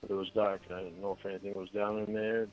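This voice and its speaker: A man answering questions in an interview, explaining himself haltingly and repeating his words. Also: on the low side, little pitch movement. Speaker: suspect being questioned. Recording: dull lo-fi recording with faint music.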